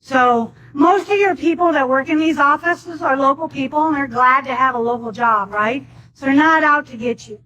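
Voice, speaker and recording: A woman's voice: high-pitched, normal speed, pushed and bright, dull audio. A woman speaking at a public meeting, earnestly advising the audience.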